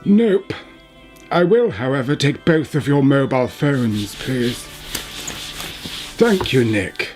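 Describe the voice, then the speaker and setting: Villainous bishop for radio drama, played by a man: unhurried, swooping pitch, theatrical, condescending, fanatical menace. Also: sing-song, darkish tone, soft background music. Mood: coolly menacing. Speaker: actor in a radio play. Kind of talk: radio play acting.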